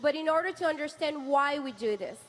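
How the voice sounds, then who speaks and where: A woman in her thirties, born in Venezuela and raised in Canada, delivers a stirring rally speech. Her voice sits high, and she builds her lines rhetorically toward slogans. A faint hiss of noise runs underneath. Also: animated, fairly quick, fairly bright tone. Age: 30s. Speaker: rally speaker, wife of a party leader.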